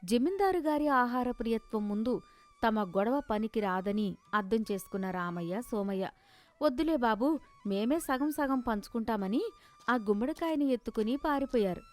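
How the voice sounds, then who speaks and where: A woman's voice: fairly high pitch, animated, moderate pace, soft background music. A woman narrating a scripted moral story in a storytelling style.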